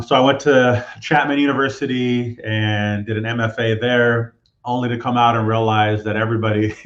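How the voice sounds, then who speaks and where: A man from Southern California, a guest telling his background in a relaxed, roundabout way. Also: mid-range voice, reverberant, dull audio. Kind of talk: casual interview storytelling.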